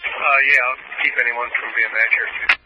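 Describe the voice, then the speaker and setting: A man's voice: mid-range voice, steady tone, moderate pace, far from the mic. A man working as an emergency responder, giving clipped procedural reports over a two-way radio. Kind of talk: police and fire radio traffic. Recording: lo-fi emergency scanner, clear static noise.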